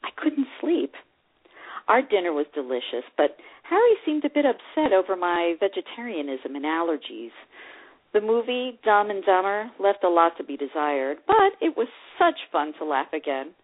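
An actress reading a memoir as a warm-hearted older divorced woman, expressive and measured, narrating a rekindled romance fondly in the first person. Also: fairly low pitch. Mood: nervously excited. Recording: dull, lo-fi radio-like sound.

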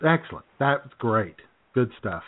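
A man's voice: medium pitch, sing-song, moderate pace, no room sound. Phone-like American man, casual radio banter. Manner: jokey, chuckles often. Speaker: talk show co-host. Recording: tinny, phone-like band-limited sound.

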